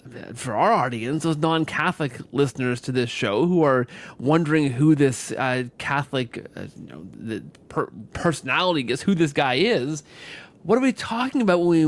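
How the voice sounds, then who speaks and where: Male podcast host, fairly high voice, conversational interviewer who circles and rephrases as he goes.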